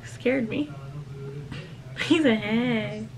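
A woman's voice: on the high side, very slow, slight background noise, rough recording. An eighteen-year-old woman reacting casually to videos at home, laughing often and talking to viewers as y'all. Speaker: reaction vlogger. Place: indoor room at home. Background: music from the video she is reacting to.

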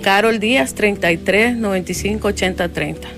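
A woman's voice: medium pitch, brisk, over music. A middle-aged woman with a Honduran accent speaking spontaneously in an outdoor interview, into a handheld microphone. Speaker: interviewee, relative of victims. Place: outdoor patio.

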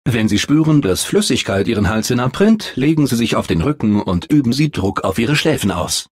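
An actor voicing a polite, unflappable automated announcer in a video game, with formal, measured, deadpan official delivery and a blandly upbeat temper. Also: male voice, mid-range voice, slightly rough, no echo, clean studio sound. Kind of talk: scripted game announcements, German dub.

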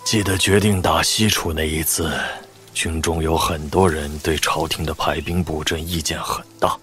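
A man's voice: a bass voice, fairly even intonation, normal speed, a bit bright. An actor playing a deferential, humble older father-in-law in an audio drama, with music behind him. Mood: reminiscing.

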